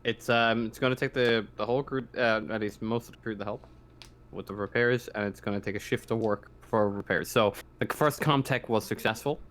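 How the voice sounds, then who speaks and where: Guy game mastering an rpg, deepish smooth voice, stops and starts hunting for words. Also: steady tone, a bit bright. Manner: halting, searching for words. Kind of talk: narrating a tabletop roleplaying game.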